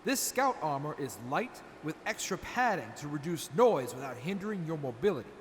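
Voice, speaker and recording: Animated, high-voiced proud armorer played by a man. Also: a little fast. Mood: proud, assured. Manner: salesman-like, flattering, assured. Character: proud, showy, confident master armorer and quartermaster. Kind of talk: post-apocalyptic audio drama acting. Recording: long hall reverb, faint background noise.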